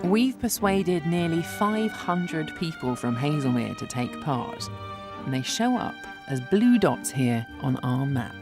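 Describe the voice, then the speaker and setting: Documentary presenter, woman, deep for her sex, measured delivery, music playing under her. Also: very animated. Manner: clear, measured explaining. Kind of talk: scripted documentary narration. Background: background music.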